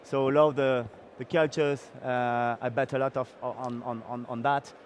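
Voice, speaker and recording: A middle-aged man from Belgium giving a startup founder's pitch, hesitant and full of fillers and restarts. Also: flat delivery, unhurried, slight background noise.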